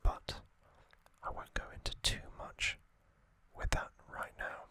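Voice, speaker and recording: Slow whispering man, ASMR host. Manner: soft whisper with frequent ums and uhs. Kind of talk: whispered ASMR trivia narration. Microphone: close sensitive mic.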